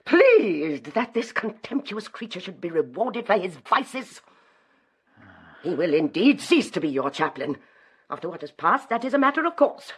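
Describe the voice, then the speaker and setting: A domineering, indignant bishop's wife. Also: female voice, medium pitch, big pitch swings, normal speed, husky. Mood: indignant command. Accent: British. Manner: haughty, emphatic, interrogating. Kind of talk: period radio drama acting.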